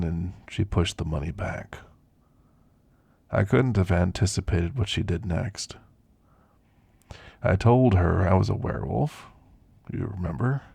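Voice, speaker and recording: Elderly werewolf , male actor, reflective ASMR storyteller: husky, weathered rasp, unhurried folksy delivery, close and dry recording. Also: low voice, a little mellow, no room sound, heavily acted. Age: older. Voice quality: gravelly, husky. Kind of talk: ASMR roleplay monologue.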